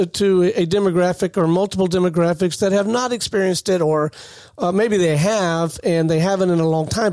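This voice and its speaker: A man's voice: high-pitched, vocal fry, a little mellow, no room sound. A man hosting a radio interview show in a studio, chatty and asking questions. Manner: chatty, asks questions, laughs easily.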